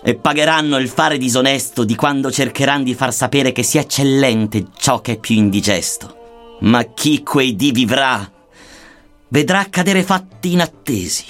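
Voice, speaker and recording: A man's voice: on the high side, fairly bright tone. A man recites archaic epic verse in a solemn, theatrical manner. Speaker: reader of verse. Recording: music under the voice. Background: music.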